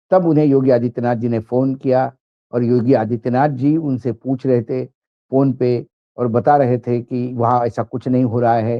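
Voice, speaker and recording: A man's voice: mid-range voice, muffled recording. A man presenting political commentary to viewers in an emphatic, rhetorical way.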